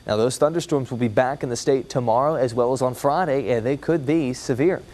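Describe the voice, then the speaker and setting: Male American TV weather forecaster, mid-range pitch, conversational on-air report delivery. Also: fairly quick, a little mellow. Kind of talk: ad-libbed broadcast weather report.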